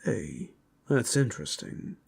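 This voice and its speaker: A man's voice: mid-range voice, huge pitch swings, slow, creaky, slightly rough, full character voice. Guy doing chill werewolf buddy, fantasy audio story, casual talk, voice moves around a lot. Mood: curious. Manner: casual, conversational. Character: easygoing werewolf companion in a fantasy band. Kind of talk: fantasy audio drama acting.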